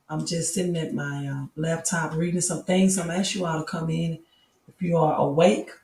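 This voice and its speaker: A woman with a raspy, hoarse voice hosting a casual late-night live stream from home, chatting slowly and greeting viewers by name. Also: fairly low pitch, a little mellow, echoey room, far from the mic, slight background noise. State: hoarse from yelling while coaching. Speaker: crypto and news live streamer. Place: at home at a laptop.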